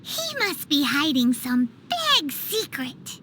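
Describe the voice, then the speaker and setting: A woman voicing a tiny, chatty, cheeky floating fairy companion in a video game, with a squeaky childlike voice and an excitable manner. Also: takes it slowly, a little hiss or hum, heavily acted. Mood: smug, gossipy. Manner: speaks of self in third person, excitable. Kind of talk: video game character dialogue.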